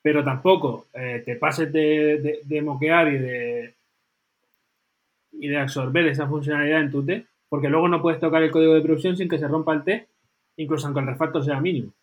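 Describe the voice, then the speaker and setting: A man chatting casually as a podcast co-host, animated and full of tag questions. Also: on the high side, fairly quick. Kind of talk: casual chat.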